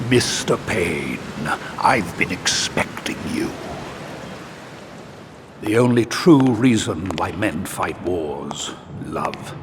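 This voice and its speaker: An actor playing a dying old patriarch of a secret society in a video game, grave, deliberate and breath-laden. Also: male voice, deep voice, animated, background noise. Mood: grave, weary. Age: older. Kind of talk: scripted game cutscene acting.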